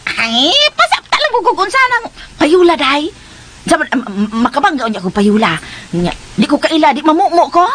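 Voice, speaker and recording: A woman playing a silly, slow-witted country housemaid in a comic radio drama, with exaggerated exclamations and cackles. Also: high voice, sing-song, fairly bright tone. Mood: silly, giggly. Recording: very noisy radio drama recording.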